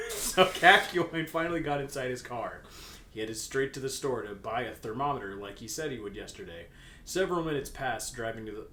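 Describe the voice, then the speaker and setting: A man reading fan fiction aloud as a comedy bit, in a playful manner. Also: fairly bright tone. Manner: playful, breaks into laughter mid-sentence. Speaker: reader, co-host. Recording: roomy echo, noisy background with rumble.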